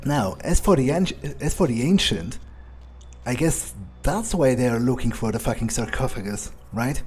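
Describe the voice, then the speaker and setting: A man role-playing an arrogant, disdainful vampire in a gameplay video, musing in a husky voice with halting, foul-mouthed phrasing. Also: mid-range voice, lively intonation, darkish tone, lightly acted. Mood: smug, musing. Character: arrogant, contemptuous male vampire musing to himself. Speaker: gamer role-playing in character. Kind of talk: in-character gameplay monologue, improvised. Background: music.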